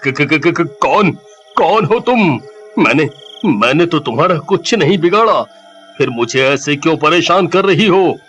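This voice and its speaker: A man's voice: a little high, animated, a little slow, a bit bright, soft background music. A man voicing a swaggering, mocking young village thug in a horror storybook tale. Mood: frightened. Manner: cocky, mocking, laughing. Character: swaggering, leering young male village thug, cowardly when threatened.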